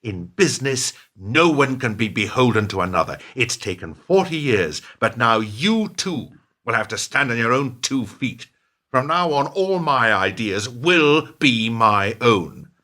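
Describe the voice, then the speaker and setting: Ruthless middle-aged businessman for radio play, male actor, smug and speechifying, very animated delivery, sharp-edged tone. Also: medium pitch, measured pace. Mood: cold, defiant. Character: ruthless, self-justifying businessman betraying his lifelong partner.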